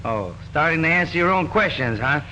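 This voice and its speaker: An actor playing a wisecracking young detective in an old film, talking briskly and teasing. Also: male voice, fairly high pitch, lively intonation, background noise, close to an everyday voice. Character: wisecracking young male detective, eager groom. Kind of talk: 1930s film dialogue.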